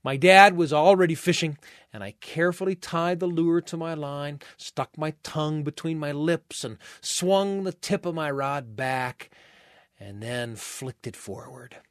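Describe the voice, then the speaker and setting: A man hosting a radio storytelling show, narrating a personal tale in a measured, expressive way with deliberate pauses. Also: fairly high pitch, no echo, clean studio sound.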